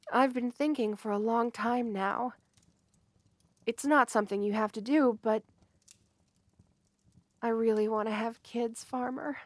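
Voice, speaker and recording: A high, unhurried young woman's voice, acted by a woman for a romantic ASMR roleplay. She is sweet, shy and earnest, gently flustered and prone to hesitate mid-phrase. The recording is dry and intimate, with no room sound. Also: no room sound, close to an everyday voice. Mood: hopeful, tender.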